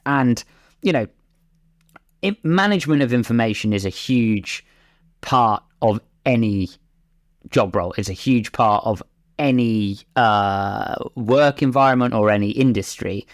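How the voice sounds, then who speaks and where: A man's voice: mid-range voice, a little slow. A man talking as a racing driver and pundit, giving conversational, discursive analysis in an emphatic way.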